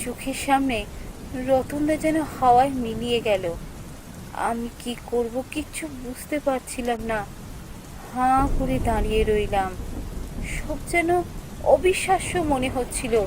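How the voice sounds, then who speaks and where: A woman playing an anxious young female office worker in a cartoon story, speaking in an expressive, emotional way. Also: high-pitched, unhurried, long pauses, noisy background. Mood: stunned, sorrowful. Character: anxious young female office worker Soumi, telling her ghost encounter. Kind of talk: dramatic scripted story narration and dialogue.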